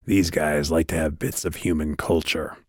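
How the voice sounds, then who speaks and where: A man voicing a terse, unflappable male guide in a fantasy audiobook, speaking in a low-key, deliberate way. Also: fairly even intonation, no echo, studio quality. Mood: dry.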